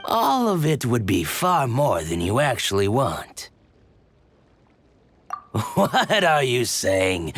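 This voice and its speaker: A man's voice: fairly low pitch, breathy edge, no room sound, heavily acted. A man voicing a shifty merchant in a video game, furtive and conspiratorial in manner. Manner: furtive, conspiratorial, hushing then blustering. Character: shifty male merchant with shady side dealings. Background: game music.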